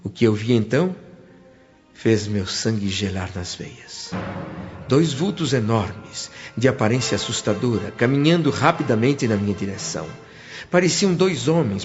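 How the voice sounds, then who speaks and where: A man's voice: medium pitch, expressive, normal speed, darkish tone, long reverb tail, lightly acted. A Brazilian man acting an earnest, remorseful spirit who tells his afterlife story in a reflective, literary way for a radio drama. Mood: frightened. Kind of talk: radio drama narration and dialogue. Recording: muffled audio with music behind. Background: background music.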